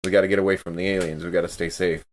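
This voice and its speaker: A man's voice: low-pitched, little pitch movement, racing. A man playing a tabletop roleplaying game, improvising his character's actions in casual, informal speech.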